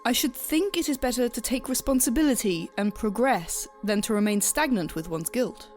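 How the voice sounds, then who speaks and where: A woman acts out a female reaper who guides souls in an audio drama. Her voice sits a little high and stays measured and stiffly polite, detached and official. Faint music plays beneath her. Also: animated, darkish tone, natural voice. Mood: composed, formal.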